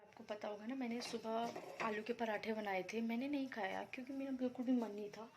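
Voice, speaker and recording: A woman vlogging about her day in a casual, rambling way, a little hoarse from being unwell. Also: on the high side, fairly even intonation, fairly quick, noisy background. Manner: chatty, rambling, explains herself to viewers. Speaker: vlogger housewife. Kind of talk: casual daily vlog chat.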